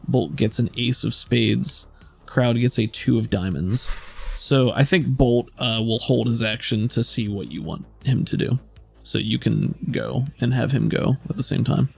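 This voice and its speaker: Game master for a tabletop session: conversational, improvised narration, touch of fry, music underneath. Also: medium pitch, unhurried, darkish tone.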